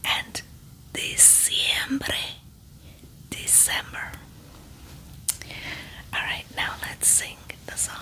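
A woman with a Spanish-speaking accent, rolling her r's, whispers slowly through a Spanish vocabulary lesson in an ASMR style, repeating each word with care. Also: poor audio. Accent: Spanish-speaking, rolls her r's. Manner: slow, soft whispering with careful repetition. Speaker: Spanish teacher.

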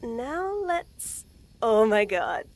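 A young woman in a scripted roleplay audio, talking to the listener with nervous stammers, frequent giggles and soft whispers. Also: a little high, sing-song, very slow, no echo. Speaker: voice actress in a girlfriend audio.

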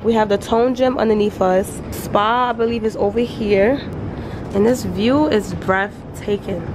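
A woman chatting casually to viewers as she gives a tour of a hotel suite and its balcony. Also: fairly high pitch. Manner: chatty, addresses viewers as y'all. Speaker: travel vlogger co-host. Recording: noisy room ambience.

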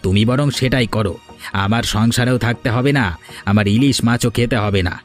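A man's voice: medium pitch, fairly quick, soft background music, close to an everyday voice. Man acting grumbling selfish village husband. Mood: grumbling, irritated. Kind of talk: Bengali cartoon dialogue.

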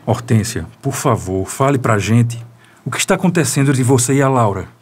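A man's voice: mid-range voice, animated, brisk, faint music behind, natural voice. A man playing an earnest, insistent, protective character with a Brazilian accent in a radio soap opera. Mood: earnest, worried.

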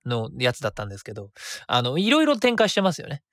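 A man's voice: medium pitch, huge pitch swings, fast, fairly bright tone, no room sound, studio quality. A man hosting his own web radio show, chatting and reading listener comments in a rambling way with many fillers.

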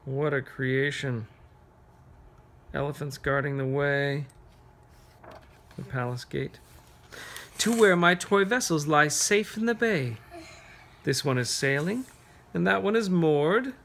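A man reading a picture book aloud to children, pausing to ask them gentle, encouraging questions. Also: a little high, gravelly. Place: carpeted room at home, sitting on floor.

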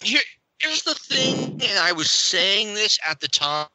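A man co-hosting a podcast in an animated, opinionated way. His voice is very bright and cutting, recorded close and dry with no room sound. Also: sits high, measured pace, no echo, rough recording. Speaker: podcast co-host. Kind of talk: casual podcast chat.

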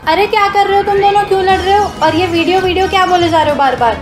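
A woman acting as a watchful mum in a homemade moral skit, strict but willing to give in. Her voice is high, in an echoey room with music over it. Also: fast. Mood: scolding. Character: watchful, strict but yielding Indian mother. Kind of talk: amateur family moral skit. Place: indoors in a home room.

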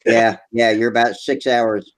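A man's voice: medium pitch, level tone, unhurried. An older man hosting a casual video call chat, easygoing as he explains things. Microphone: webcam on a video call.

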